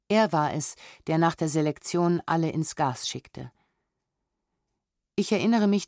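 A woman reading a historical testimony as scripted narration in a measured, sober manner. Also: on the low side, darkish tone, no room sound, clean studio sound. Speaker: reader of historical documents.

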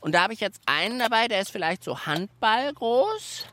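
Bright, animated young man hosting. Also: high voice, normal speed, a little hiss or hum. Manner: animated and playful, narrates what he is doing. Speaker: children's radio host. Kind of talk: lively hands-on radio chat.

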